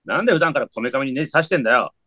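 A man playing a hot-tempered young man in a monster costume, snapping back with rough, quick retorts in a comedic radio drama. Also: mid-range voice, expressive, bright, forceful tone, no echo, clean studio sound. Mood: indignant.

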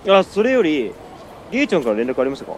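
A man's voice: a little high, wildly swooping pitch, fast talker, a little hiss or hum. A man playing an earnest, apologetic younger friend with polite but casual speech in a scripted radio drama. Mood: apologetic, flustered. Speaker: radio show regular acting in a drama.

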